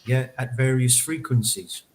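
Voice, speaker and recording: Musing male co-host, mid pitch. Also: a little slow. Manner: musing, searching for words. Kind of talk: casual studio chat.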